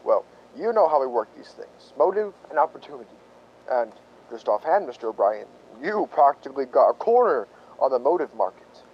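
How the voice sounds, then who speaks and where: An actor playing a plain-spoken, suspicious police lieutenant in a radio drama, questioning people in a blunt, folksy way. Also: male voice, mid-range voice, big pitch swings, a little fast, lo-fi sound, a little hiss or hum, close to an everyday voice. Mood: blunt, accusing.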